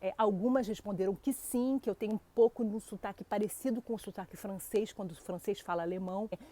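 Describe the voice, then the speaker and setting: A woman's voice: medium pitch, fairly even intonation, fast, warm tone. A woman from Brazil with a Brazilian Portuguese accent, hosting her own channel and answering a viewer's question in a chatty, direct way. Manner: chatty, talks straight to viewers, many fillers. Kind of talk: casual talk to camera.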